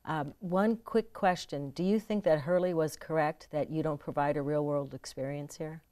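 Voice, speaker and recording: A woman with a low voice hosts a studio talk show. She poses her questions politely and keeps the exchange upbeat. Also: fairly even intonation, moderate pace, dark tone. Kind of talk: studio interview hosting.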